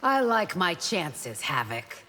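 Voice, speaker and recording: A woman playing a devout, steadfast palace guard in a fighting game, firm and measured. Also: on the low side, sing-song, big-room sound, noisy background. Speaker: video game character. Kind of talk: dramatic fighting game dialogue.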